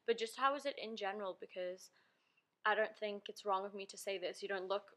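Casting: podcast host, woman, bright clear voice, curious and loose, lots of "like" and "I mean". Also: medium pitch, steady tone, fairly quick. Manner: curious, asks questions, uses filler words. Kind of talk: casual chat.

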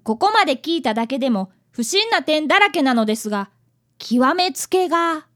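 A woman's voice: high voice, lively intonation, normal speed, a bit bright. A woman playing an earnest, polite fifth-grade boy apprentice detective who narrates a radio drama. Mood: narrating, wry.

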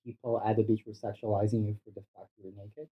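A man's voice: lowish voice, flat delivery, quick pace, narrow, tinny audio. A man from Brazil with a Brazilian Portuguese accent, a podcast guest chatting in a bedroom, bawdy and playful, laughing often. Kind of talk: casual podcast chat.

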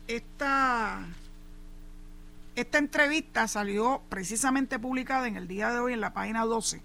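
A woman's voice: a little high, vocal fry, fairly bright tone. A Puerto Rican woman hosting a show, giving emphatic opinion commentary on local news and dropping in English asides. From Puerto Rico.

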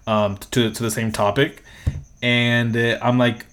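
A man's voice: mid-range voice, little pitch movement, unhurried, a bit bright, clear, resonant tone. A young man hosting a solo podcast, talking in a casual, rambling way, swearing freely and laughing often. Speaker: podcast host.

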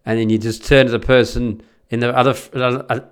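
A man's voice: medium pitch, flat delivery, a little fast, smooth. An Australian man talking in a blunt, chatty way, with lots of restarts and the odd swear word, giving advice in a casual monologue.

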